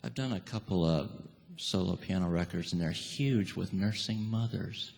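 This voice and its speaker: A man speaking as a musician in a live stage interview, casual and halting, with dry humour. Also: sits low, gravelly, darkish tone. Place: on a theatre stage. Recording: echoey theatre hall, noisy.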